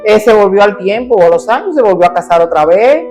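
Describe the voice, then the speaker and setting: A middle-aged Cuban woman telling her life story as an interview guest, animated and lively as she acts out the voices of her family. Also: mid-range voice, brisk, soft background music. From Cuba.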